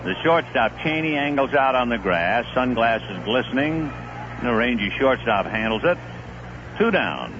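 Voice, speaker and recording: A man calling a baseball game play by play on radio, with a relaxed storytelling delivery that rises sharply on big plays, heard from an old 1970s broadcast. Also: mid-range voice, measured pace, muffled recording, background noise. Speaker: play-by-play announcer. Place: broadcast booth at a stadium.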